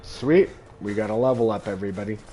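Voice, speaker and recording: A man from Southern California, chatting calmly and reading chat aloud while streaming a video game. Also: lowish voice, fast, faint music behind, rough recording. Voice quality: calm. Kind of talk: casual gameplay commentary.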